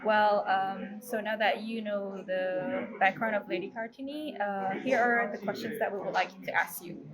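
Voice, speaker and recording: A woman's voice: medium pitch, steady tone, normal speed, crowd noise. A woman interviewer asking questions in a casual interview. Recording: music behind the voice.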